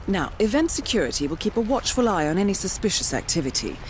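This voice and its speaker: An actress playing a poised intelligence handler, giving measured, formal mission briefings in a video game. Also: female voice, mid-range voice, big pitch swings, a little mellow, slight background noise, natural voice. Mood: smooth, inviting. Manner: measured, formal, suave, deliberate. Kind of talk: scripted mission briefing narration.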